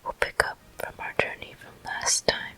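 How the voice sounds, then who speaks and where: A person whispering ASMR gameplay commentary as the host of a walkthrough, in a breathy, unvoiced, gentle and deliberate way. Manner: slow, gentle, deliberate delivery.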